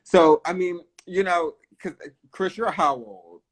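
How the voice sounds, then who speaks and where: A man in his early thirties hosting a casual interview, with halting phrasing and many restarts. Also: sits high. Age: 30s. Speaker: interviewer, host.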